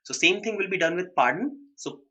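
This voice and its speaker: A young man with an Indian accent teaching a live online exam-prep class, switching between English and quick Hindi asides and answering students by name. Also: high-pitched, expressive, pushed and bright, highs cut off.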